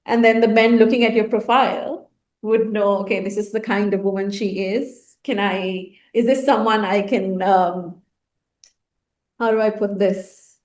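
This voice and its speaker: An excitable woman from Sri Lanka co-hosts a podcast in a mid-pitched voice. She talks eagerly, and her thoughts tumble out faster than she can finish them. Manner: excitable, eager, sentences running ahead of her. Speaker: podcast co-host, writer and speaker. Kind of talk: casual podcast chat.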